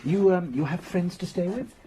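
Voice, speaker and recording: An actor playing a shrewd, playful police inspector who chuckles and muses theatrically. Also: male voice, high-pitched, moderate pace, noisy background, close to an everyday voice. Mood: curious. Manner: theatrical, chuckling, lively and musing. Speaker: detective. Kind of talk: radio drama acting.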